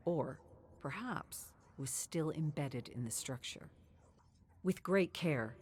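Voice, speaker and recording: Low-voiced woman narrating dark lore. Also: a little hiss or hum.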